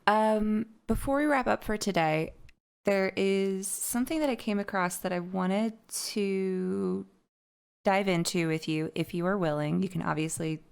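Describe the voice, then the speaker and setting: A woman's voice: mid-range voice, a little slow, gravelly, clear, resonant tone. A woman hosting an interview podcast who asks thoughtful, carefully phrased questions. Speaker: podcast host.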